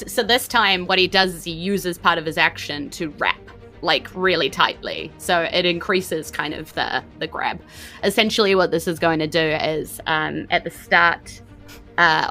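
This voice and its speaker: A woman's voice: sits low, creaky, bright, forceful tone. A woman with a New Zealand accent, casually narrating a tabletop game as game master in a playful, teasing way.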